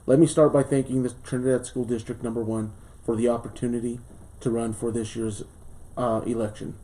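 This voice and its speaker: A man in his late thirties, a school board candidate from southern Colorado, reading a formal speech and answering questions earnestly, with pauses and fillers, in a small studio. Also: mid-range voice, little pitch movement, warm tone. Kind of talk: prepared campaign speech and interview answers.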